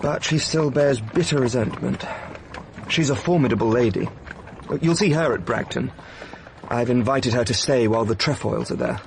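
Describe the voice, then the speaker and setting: An actor playing a solid, virtuous English diplomat who speaks politely and formally, with an English accent. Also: male voice, mid-range voice, normal speed, creaky, background noise, lightly acted. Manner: polite, hesitant, formal phrasing. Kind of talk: BBC radio drama acting.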